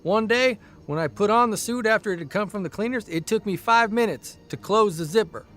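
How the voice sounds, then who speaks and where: A man's voice: high-pitched, fairly quick, fairly bright tone, soft background music. A man playing a grumpy, weary 1930s newspaper columnist and husband in an audio drama, wry, exasperated and folksy. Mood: animated storytelling.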